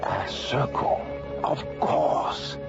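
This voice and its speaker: An actor playing an inquisitive adventurer in a radio drama, urgent and halting as he investigates. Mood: intrigued. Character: inquisitive excited adventurer investigating ancient symbols. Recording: muffled old radio drama with loud music.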